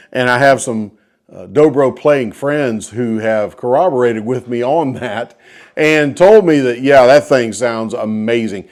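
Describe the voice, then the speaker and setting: A man with an American accent telling a story casually to viewers in a folksy, enthusiastic way, as the host of a guitar video. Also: mid-range voice.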